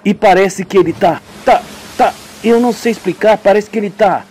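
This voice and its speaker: A man voicing a shaken young man who takes charge, with urgent, hurried speech, in a homemade radio drama. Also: sits high, a little mellow, slightly rough, soft background music, pitched above the performer's own voice. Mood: alarmed urgent. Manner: urgent, hurried when giving orders.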